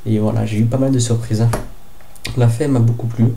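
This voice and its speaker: A young man from France talks through a casual book review, stuffy from a head cold. His voice is very dark and mellow and stays flat in pitch. He hesitates often, filling gaps and restarting his sentences. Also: a little fast, vocal fry, slight background noise. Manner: hesitant, lots of fillers and restarts. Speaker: book vlogger.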